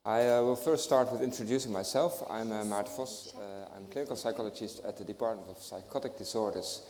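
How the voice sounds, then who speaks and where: A man who is a clinical psychologist starting a conference talk, halting with uhs. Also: fairly low pitch, darkish tone. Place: conference hall. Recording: reverberant hall, away from mic.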